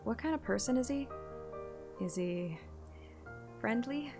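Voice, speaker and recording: A woman's voice: fairly high pitch, measured pace. An actress playing a playful, plucky young woman hunter, narrating and voicing a first-person game story expressively. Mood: nervous. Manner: expressive, teasing. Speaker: player-character narrator. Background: background music.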